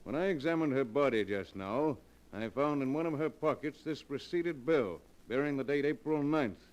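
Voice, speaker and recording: An actor playing a calm, exacting county coroner in a vintage radio drama, speaking deliberately. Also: male voice, mid-range voice, lo-fi sound, natural voice. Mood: measured. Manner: deliberate, measured. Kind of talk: 1940s radio acting.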